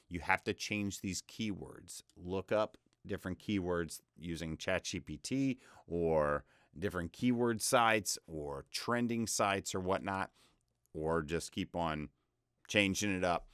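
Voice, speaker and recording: A young man with a deep voice giving a casual, rambling screen-share tutorial on freelance selling, often interrupting himself with upbeat advice. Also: measured pace, fairly bright tone, clear, resonant tone, no room sound, clean studio sound. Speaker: voice-over freelancer teaching online selling.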